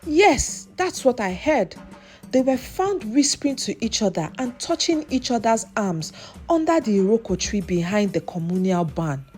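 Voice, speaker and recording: A woman's voice: on the high side, very animated, normal speed, a little mellow, soft background music. A woman storyteller voicing a scheming young village man who confides secrets coyly in a folktale. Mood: insistent. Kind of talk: folktale character voice.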